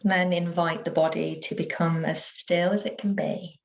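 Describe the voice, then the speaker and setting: A woman teaching yoga nidra, guiding a relaxation meditation in a soothing, unhurried manner with gentle pauses. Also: on the low side, fairly even intonation, vocal fry, highs cut off.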